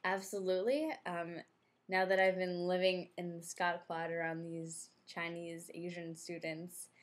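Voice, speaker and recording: A woman's voice: lowish voice, flat delivery, measured pace. A young American woman answering interview questions in a chatty, casual way, leaning on filler words. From United States. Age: young adult. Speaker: interviewee, former exchange student.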